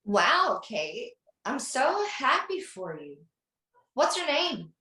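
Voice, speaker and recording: A bright, high voice in an echoey room, a woman acting an affectionate mother on the phone. She is sweet and gushing, taking her time through a script read-through. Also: big pitch swings, slow pace, exaggerated voice. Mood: warm, excited. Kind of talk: table read of a film script over video call. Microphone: video call. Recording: roomy, echoing video call audio.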